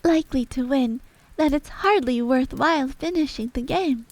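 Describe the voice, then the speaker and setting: Young storybook girl, played by a woman: polite, prim, earnest, pitched high and light. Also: moderate pace, no echo, close to an everyday voice. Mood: hasty flattery.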